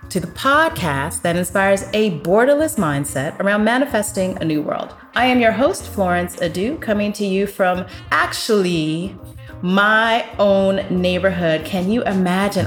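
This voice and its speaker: A woman hosting an interview podcast, upbeat and lively, with many short affirmations as she listens. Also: lowish voice, measured pace, soft background music. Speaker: podcast host. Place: guest's home neighborhood.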